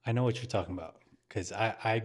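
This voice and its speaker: A man's voice: lowish voice, quick pace. A man from San Francisco talking casually as a podcast co-host. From San Francisco, USA. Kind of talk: casual chat.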